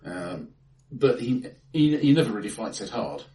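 A man co-hosting a casual film discussion in a measured, halting way, often repeating his opening words. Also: lowish voice, very animated, warm tone. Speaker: podcast co-host. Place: bright-sounding room, face to face. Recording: echoey room, distant from mic.

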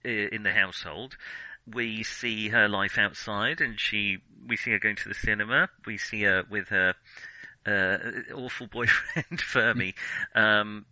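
Bright-voiced man, hesitant and low. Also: fairly even intonation, measured pace, no echo, low-quality recording. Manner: hesitant, self-correcting, thinking aloud. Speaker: film discussion podcast co-host. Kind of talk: casual film discussion.

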